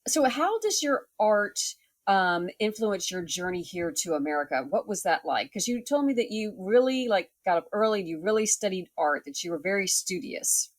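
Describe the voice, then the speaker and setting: A woman's voice: mid-range voice, animated, moderate pace, dry recording. A woman hosting a casual interview, chatting and asking her guest questions. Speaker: host.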